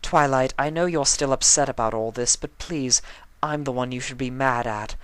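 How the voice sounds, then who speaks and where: A man's voice: mid-range voice, normal speed, fairly bright tone, clear, resonant tone, no room sound, pitched above the performer's own voice, close to an everyday voice. A man voicing a refined young female unicorn pony, guilty and heartbroken, with a dramatic, pleading manner. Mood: remorseful.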